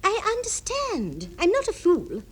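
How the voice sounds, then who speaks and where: A man putting on a shrill prim secretary. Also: wildly swooping pitch, unhurried, fairly bright tone, exaggerated voice. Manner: shrill falsetto, pedantic. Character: flustered prim female typist secretary.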